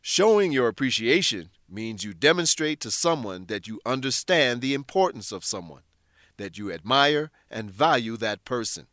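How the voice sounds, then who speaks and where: A man narrating a scripted English lesson in a deliberate, instructional manner. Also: medium pitch, big pitch swings, pushed and bright, dry recording, studio quality.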